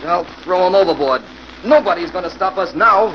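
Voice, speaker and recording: An actor playing a tough, jumpy gangster who barks agitated orders in an old radio crime drama. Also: male voice, high-pitched, animated, fairly quick. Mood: aggressive alarm. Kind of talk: 1940s radio acting. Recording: muffled, noisy old radio recording.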